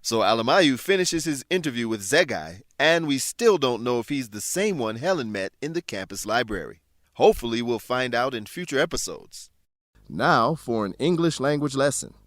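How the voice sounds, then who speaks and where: A man hosting and narrating a scripted language lesson, explaining things in a clear, measured teacher's manner. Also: medium pitch, lively intonation, a bit bright, dry recording, clean studio sound. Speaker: host and English teacher.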